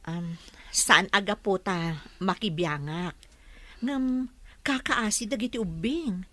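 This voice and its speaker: A woman's voice: mid-range voice, slow, a bit bright, no echo. A woman playing a kindly, nosy village neighbour with an Ilocano accent in a radio drama. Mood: reproachful.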